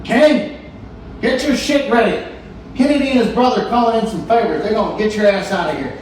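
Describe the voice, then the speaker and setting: An actor playing a brusque male rescuer who talks bluntly and fast in a live staged reading. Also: sits high, darkish tone, a little hiss or hum, lightly acted. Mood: urgent. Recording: roomy stage sound from far off.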